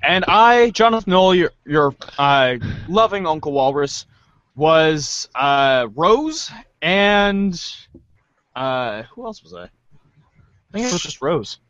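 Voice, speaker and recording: A man with a fairly high voice hosts an amateur show's sign-off. He is playful and theatrical. The sound is dry and somewhat muffled, as on an online call. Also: expressive, slow, fairly bright tone, dry recording. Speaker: show host. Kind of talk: informal show sign-off.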